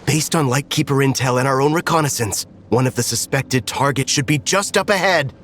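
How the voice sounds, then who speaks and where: A man's voice: medium pitch, fairly quick, a bit bright, lightly acted. A man acts out a knight scout in a fantasy game. He has a middling voice and a formal, businesslike manner, with faint noise behind. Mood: urgent, formal. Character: brisk, formal knight scout reporting to his commander. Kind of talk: video game voice acting.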